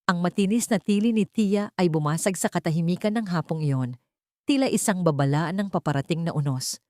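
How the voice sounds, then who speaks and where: A woman's voice: lowish voice, fairly quick, warm tone, dry recording, clean studio sound. A woman reading a scripted romantic drama audiobook as its narrator, in a dramatic storytelling style.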